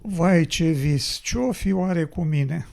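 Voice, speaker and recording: An actor playing a weary, ailing road builder in an educational radio drama, gravelly and husky, with a plaintive storytelling manner. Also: male voice, a little high, a little mellow, slight background noise. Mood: hopeful.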